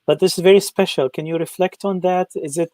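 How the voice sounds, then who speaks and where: Man, host, mellow dark voice, dry sound, halting questions, repeated starts. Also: high voice, fairly even intonation, no echo. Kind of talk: interview questions.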